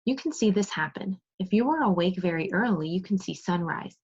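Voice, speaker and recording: A woman's voice: lowish voice, animated, brisk, a little mellow, husky. A woman teacher reading aloud and explaining to young children, asking questions and praising pupils as she goes. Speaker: second grade teacher. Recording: dull lo-fi sound.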